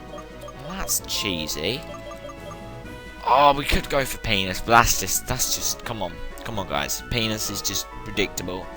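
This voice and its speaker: A young man giving rambling, casual commentary while playing a video game. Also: fairly low pitch. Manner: chatty, rambling, self-interrupting. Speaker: let's play gamer. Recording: game music loud behind the voice. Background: game music.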